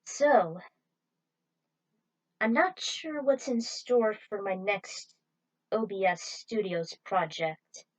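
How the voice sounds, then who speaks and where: A woman's voice: mid-range voice, slow pace, long pauses, poor audio. A woman speaking as a fan fiction writer and channel host, addressing fans in an earnest, effusive way with author's notes and a sign-off.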